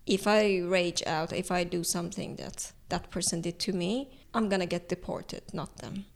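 Woman, young adult, Iranian accent, Turkish first language, gravelly edge, pauses to find words, reflective interview talk. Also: lowish voice, steady tone. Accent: Iranian, speaking English as a later language. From Iran. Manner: thoughtful, halting, searching for words. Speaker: artist guest.

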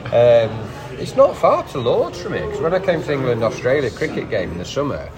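A man's voice: mid-range voice, sing-song, brisk, over music, low-quality recording. A man co-hosting a casual podcast chat outdoors, rambling and hesitant with frequent restarts. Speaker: podcast co-host. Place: outdoors by a canal before an away match. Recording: busy crowd noise behind. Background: crowd noise.

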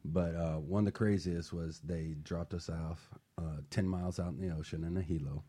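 A man's voice: deep voice, fairly even intonation, normal speed, mellow, breathy edge. A middle-aged man telling a personal story on a talk show, laid-back, with many fillers and restarts.

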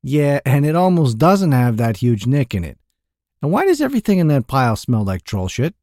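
A gruff, skeptical middle-aged shopkeeper, put on by a male narrator, blunt and crude, with his voice leaping up in disbelief. The tone is dark and mellow, and the reading sounds close and dry. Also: mid-range voice, big pitch swings, normal speed, dry recording, pitched above the performer's own voice, lightly acted. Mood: gruff, incredulous.

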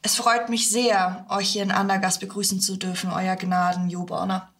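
A woman's voice: medium pitch, level tone, moderate pace, a bit bright, lightly acted. A woman playing a courteous young adventurer in a fantasy role-play audio drama. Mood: polite, formal.

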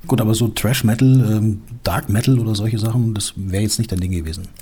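Mellow, husky male interviewer. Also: lowish voice, fairly even intonation, brisk. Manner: curious, prompting. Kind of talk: interview questions.

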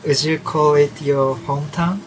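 A man's voice: a little high, steady tone, measured pace, a little hiss or hum, low-quality recording. A man conducting an interview, asking questions and stumbling as he rephrases them. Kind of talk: oral history interview.

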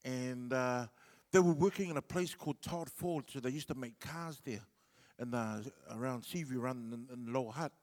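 A man's voice: medium pitch, normal speed, darkish tone, long reverb tail. A middle-aged New Zealand man whose first language is Samoan, telling a rambling stand-up story full of fillers. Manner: laid-back and rambling, with many fillers. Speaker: comedian.

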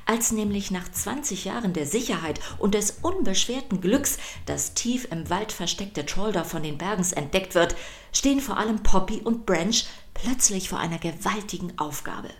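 A woman's voice: medium pitch, animated, normal speed. A woman hosting a children's podcast, reading scripted narration in a playful, emphatic storytelling manner.

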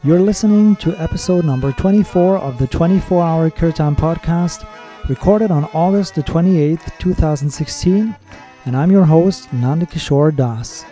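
A man hosting a podcast, reading his scripted episode introduction and news in a measured, steady way. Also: on the high side, soft background music. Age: adult with children. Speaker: podcast host.

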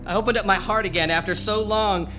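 An actor playing a grieving widower haunted by a vengeful creature, stammering and confiding, then erupting into shouts. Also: male voice, high voice, steady tone, quick pace, close to an everyday voice. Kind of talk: radio drama monologue. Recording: music bed behind voice.

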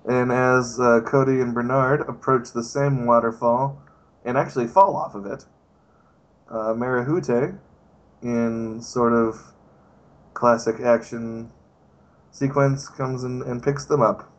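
A man's voice: mid-range voice, steady tone, takes it slowly, lo-fi sound. A man hosting a podcast, reading a film plot summary aloud and riffing on it with swearing and laughter. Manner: swears often, laughs, riffs on what he reads. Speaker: podcast host.

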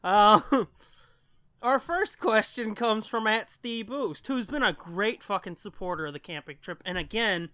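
A man's voice: high-pitched, a little slow, pushed and bright, no room sound. A man co-hosting a podcast in casual banter, joking and crude with plenty of swearing. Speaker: podcast co-host.

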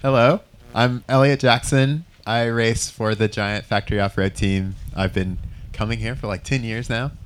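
A man who races bikes professionally, introducing himself on a panel, slow and sincere. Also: mid-range voice, fairly even intonation, clear, resonant tone. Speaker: pro racer, panelist.

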